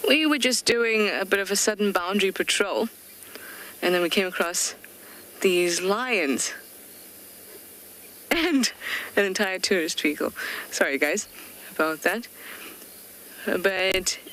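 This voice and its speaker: A woman's voice: mid-range voice, expressive, a little slow, pauses often, fairly bright tone. A woman working as a safari guide, thinking aloud in chatty, curious live commentary from a vehicle in the bush. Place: game drive vehicle outdoors in the bush.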